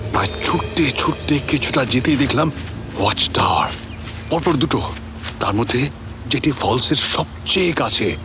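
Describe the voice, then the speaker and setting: Low-voiced, obsessed middle-aged storyteller. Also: male voice, background noise. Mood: tense, brooding. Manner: theatrical, intense, with suspenseful pauses. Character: obsessed middle-aged family man recounting a haunted pursuit. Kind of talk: dramatic first-person audio story narration.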